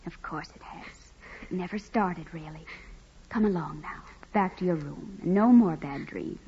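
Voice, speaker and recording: An actress playing a naive, tender young woman, earnest and gentle, in 1940s radio drama style. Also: fairly low pitch, a little slow, slight background noise, lightly acted. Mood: soothing. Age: young adult. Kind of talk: 1940s radio acting, dramatic narration and dialogue. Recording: muffled old radio broadcast.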